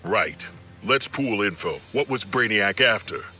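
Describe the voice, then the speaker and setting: An actor playing a confident, dry-witted superhero in a video game, cool and self-assured. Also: male voice, lowish voice, animated, unhurried, faint music behind, exaggerated voice. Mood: cool confidence. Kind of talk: video game character acting. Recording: echoey, distant, loud battle noise.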